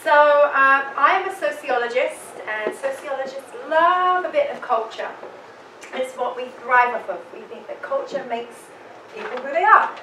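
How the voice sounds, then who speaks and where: High-voiced London woman moderating. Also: moderate pace, pushed and bright, a little hiss or hum. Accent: London English. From England. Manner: chatty, engaging, asks the crowd questions. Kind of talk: moderating a public panel, addressing the audience. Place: event room with audience. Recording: roomy hall, voice from some distance.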